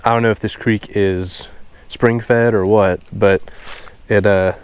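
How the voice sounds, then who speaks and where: Low-pitched, congested man vlogging outdoors. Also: steady tone, measured pace, heavy background noise. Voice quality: nasal, stuffed-up. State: congested and sniffly. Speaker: host of a fishing video series. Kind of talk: casual outdoor vlog narration. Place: outdoors beside a small creek.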